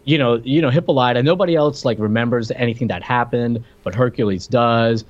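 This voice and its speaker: A man's voice: mid-range voice, fairly quick. Man on a dull, lo-fi podcast recording. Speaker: podcast co-host reviewing films. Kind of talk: casual film discussion. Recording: slightly dull, lo-fi audio.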